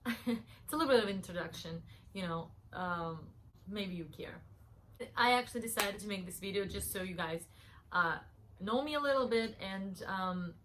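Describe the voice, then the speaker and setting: A woman's voice: medium pitch, a bit bright, a little hiss or hum. A woman in her thirties from Russia, speaking English, talking earnestly to camera with many fillers and restarts. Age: 30s. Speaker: vlogger. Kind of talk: casual talk to camera.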